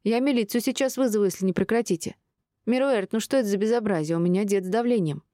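A woman's voice: medium pitch, fast, warm tone, dry recording, clean studio sound, pitched above the performer's own voice, natural voice. A woman voicing a grumpy elderly female pensioner who complains reproachfully in an audiobook drama. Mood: indignant scolding.

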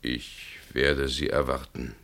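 Very deep, creaky, troubled man. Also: level tone, slow, a bit bright, exaggerated voice. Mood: resigned. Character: troubled, hesitant man drawn into a killing. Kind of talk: classic radio play acting.

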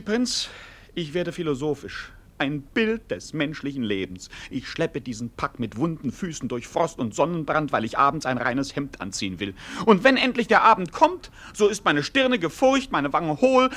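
Theatrical cynical court fool, high voice, wildly swooping delivery, mock-laments and grand exclamations, classic radio play. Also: male voice, very animated, moderate pace, a bit bright. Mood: grumbling, mock-philosophical. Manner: exclamatory, mock-lamenting, swinging between groans and grandiose outbursts. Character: theatrical male jester servant, whining, cynical, mock-philosophical.

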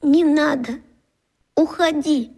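Really high little schoolgirl voice, sad and proud, kids radio play. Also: slow pace, darkish tone. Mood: hurt, guarded. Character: sad, proud, withdrawn schoolgirl of about eleven. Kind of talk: children's radio play acting.

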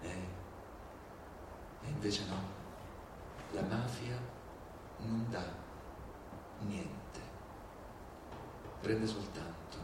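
A man performing a theatrical civic monologue, deliberate and rhetorical, with indignation and dramatic pauses. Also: fairly low pitch. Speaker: stage performer. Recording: very echoey, distant, clearly noisy.

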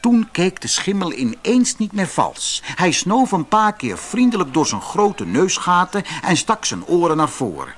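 A man with a Dutch accent from the Netherlands reading a fairy tale aloud in expressive, scripted narration, with a slightly husky voice. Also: sits high, unhurried, few pauses. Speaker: audiobook narrator.

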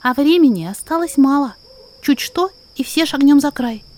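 A woman's voice: high-pitched, expressive, normal speed, a little mellow. A woman voicing a tender, urgent spirit mother who pleads in dramatic verse, in an audio fan-fiction reading.

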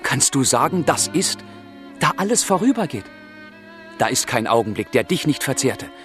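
A man's voice: medium pitch, very animated, normal speed, a bit bright, faint music behind. An actor playing a passionate, brooding young man of the 1770s in a literary radio drama, with fervent, declamatory delivery. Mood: anguished, brooding. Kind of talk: literary radio drama, dialogue and letter monologue.

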